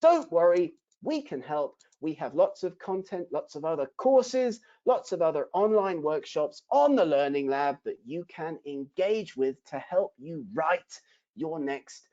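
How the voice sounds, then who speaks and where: Lively, mellow-voiced man teaching writing. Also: on the high side, measured pace. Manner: emphatic, rhetorical questions to the audience. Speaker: academic writing instructor. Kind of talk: webinar lesson. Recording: dull lo-fi webinar audio.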